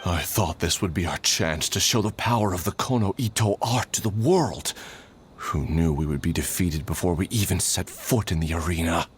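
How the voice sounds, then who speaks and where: A man's voice: low voice, moderate pace, a little hiss or hum, close to an everyday voice. A man playing an eager junior sword student, theatrical and deferential, in dramatic anime-style video game acting. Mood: dismayed.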